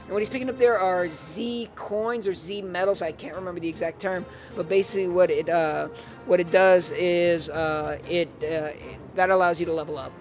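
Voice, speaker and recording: A man's voice: high voice, fairly even intonation, normal speed. A man hosting a live game stream, commenting on gameplay and hesitating often with fillers and restarts. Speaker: stream host. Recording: clear music underneath.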